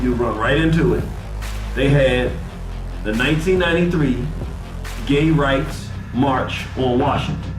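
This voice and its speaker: Emphatic man in his forties, roomy echo. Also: fairly high pitch. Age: 40s. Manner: emphatic, repeats key points slowly. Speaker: host. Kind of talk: live talk-show monologue. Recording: roomy, far from the mic, music behind.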